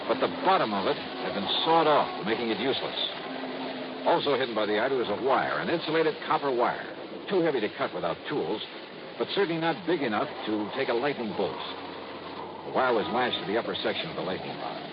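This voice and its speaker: An actor playing a tough, wry insurance investigator in a 1950s radio drama, hard-boiled and dramatic. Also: male voice, mid-range voice, big pitch swings, fairly quick, natural voice. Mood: tense, dramatic. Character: tough, wry freelance insurance investigator, narrating his case. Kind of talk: 1950s radio detective narration and acting. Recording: muffled, very noisy old radio recording.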